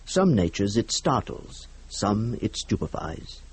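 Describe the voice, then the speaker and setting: A man's voice: lowish voice, very animated, takes it slowly, husky. A man giving a formal literary introduction as a radio drama announcer, in a solemn, theatrical recitation.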